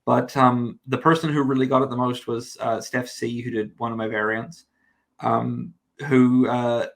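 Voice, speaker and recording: A man's voice: mid-range voice, level tone, a little slow, gravelly. A man in his thirties from New Zealand, with an accent blending New Zealand and American, chatting as a guest with lots of self-deprecating restarts. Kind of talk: casual chat.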